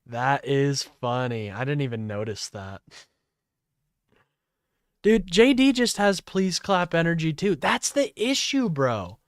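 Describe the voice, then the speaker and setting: A man streaming live, reacting to a clip with blunt, profane, sarcastic commentary and a fairly high voice. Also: sing-song, takes it slowly, clean tone, no room sound, studio quality. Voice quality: says his voice is high-pitched. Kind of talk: casual political commentary.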